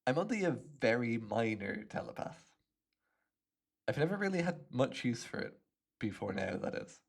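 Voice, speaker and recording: A man plays a smug imprisoned supervillain in an audio roleplay, a chatty hypnotist with a gravelly, creaky edge to his voice. His pitch swings freely as he muses and teases, playful and in no hurry, speaking straight to the listener. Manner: playful, smug, unhurried musing with little chuckles. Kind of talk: ASMR roleplay monologue addressed to the listener.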